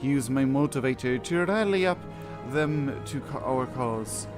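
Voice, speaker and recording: Male gaming host, mid-pitched, slow delivery, wry and casual, music underneath. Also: lively intonation. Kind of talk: casual game playthrough commentary, reading quest text aloud. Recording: game music behind the voice. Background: video game music.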